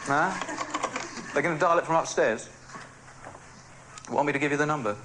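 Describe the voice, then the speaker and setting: A man's voice: fairly high pitch, normal speed. A man presenting on radio, ad-libbing in a wryly flustered, joking way. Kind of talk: unscripted ad-libbed filling on air. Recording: noisy archive radio tape.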